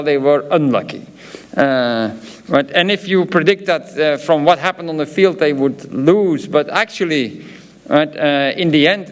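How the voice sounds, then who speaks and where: A man from Belgium with a Flemish accent, a professor giving a graduation ceremony speech, hesitant and full of filler sounds, with chatty asides. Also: fairly high pitch. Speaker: professor presenting diplomas.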